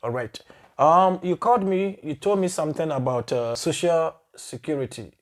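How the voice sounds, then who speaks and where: Male show host, higher voice. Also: moderate pace. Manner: conversational, brief prompts and frequent backchannels. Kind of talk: casual interview hosting.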